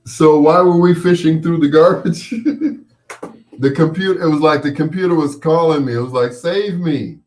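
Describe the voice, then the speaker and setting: A man's voice: on the high side, fairly even intonation, moderate pace, darkish tone. A man hosting a personal storytelling show in a casual, rambling, playful way, sometimes acting out what people said. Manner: playful, acts out quoted voices.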